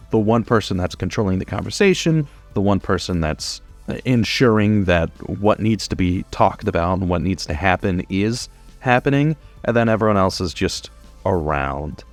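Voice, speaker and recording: A man in his twenties giving a casual, unscripted explainer in an informal, conversational way, as a podcast producer guiding beginners. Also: lowish voice. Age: 20s.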